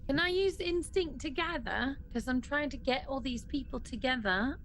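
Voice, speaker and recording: A woman's voice: sits high, normal speed, fairly bright tone. A woman chatting casually and informally as a player in a tabletop role-playing game. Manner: informal, conversational. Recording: clear background noise.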